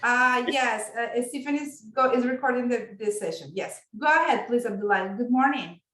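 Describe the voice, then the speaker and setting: A woman's voice: fairly high pitch, moderate pace, a bit bright. A woman who speaks English as a second language, leading an online business workshop as instructor in an encouraging, appreciative manner. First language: not English. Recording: roomy echo on online video call.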